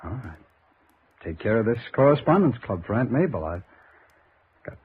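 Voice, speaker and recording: An actor playing a defensive, aggrieved young American man with a husky voice in a 1950s radio drama, hesitant and easily agitated. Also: medium pitch, big pitch swings, moderate pace, slight background noise, close to an everyday voice. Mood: guarded, evasive. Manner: hesitant, evasive, turning agitated. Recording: dull, lo-fi 1950s radio recording.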